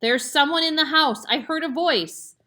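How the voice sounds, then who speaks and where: A woman's voice: a little high, animated, fairly quick, pushed and bright, voice pushed up. A woman voicing a panicky, bossy mother who hisses urgent orders. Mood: panicked. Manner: hissing, urgent.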